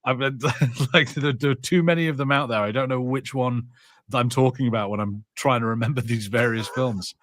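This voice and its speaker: A man talks casually as a podcast co-host in a bright, punchy voice. He rambles through anecdotes in halting starts, repeating words as he goes. The sound is very dry, with no room around it. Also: medium pitch, fairly even intonation, no room sound. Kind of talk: casual chat and personal anecdotes.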